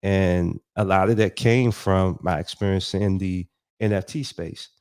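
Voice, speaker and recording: Deep-voiced guy with a stuffed-up cold, rambling commentary, lots of ums. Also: steady tone, measured pace, a little mellow, clean tone. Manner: loose and conversational, frequent ums and restarts. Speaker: host of a crypto commentary show. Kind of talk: casual commentary, reading a news article aloud.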